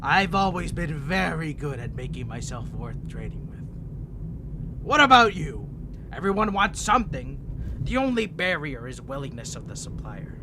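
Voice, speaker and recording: A man playing an insane, centuries-old vampire prisoner, theatrical, playful and menacing as he teases in a drawn-out ASMR roleplay monologue. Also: a little high, very animated, noisy background. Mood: coaxing, seductive. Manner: taunting, teasing, theatrical, giggling, drawn-out delivery.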